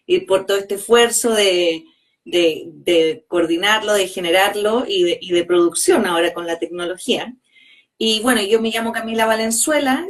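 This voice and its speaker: Chilean woman, thoughtful and rambling. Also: lowish voice. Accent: Chilean Spanish. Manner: thoughtful, rambling, many hesitations. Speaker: guest, organizational psychologist and coach. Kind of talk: casual interview conversation.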